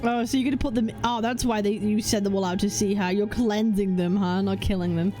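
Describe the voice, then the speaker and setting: A woman's voice: mid-range voice, steady tone, a little fast, warm tone, soft background music. A woman streamer giving casual reaction commentary on a game story.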